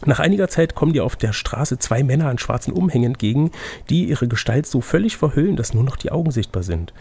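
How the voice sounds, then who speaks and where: A man with a creaky, somewhat raspy voice at a medium pitch leads a gamebook adventure. He switches between storybook narration and playful, casual banter. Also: animated, quick pace, a little mellow. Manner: playful, chatty asides between read passages. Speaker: game master reading a gamebook aloud.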